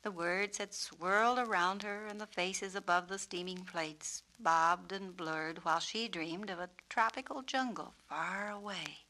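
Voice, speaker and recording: A woman narrating a children's storybook on a 1970s record, with expressive, deliberate phrasing. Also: on the low side, low-quality recording. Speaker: author and narrator.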